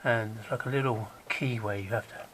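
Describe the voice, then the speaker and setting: A man with a croaky voice gives a casual, hands-on how-to while working on an engine in a garage, chatting along and dropping to a mutter as he works. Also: lowish voice, fairly even intonation, brisk, a bit bright, slight background noise, poor audio. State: croaky, recovering from illness. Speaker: DIY car repair vlogger.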